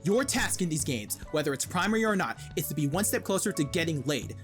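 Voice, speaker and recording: Quick, lively, wry video essay narrator. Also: medium pitch. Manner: wry, irreverent. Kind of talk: scripted comedic narration. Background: background music.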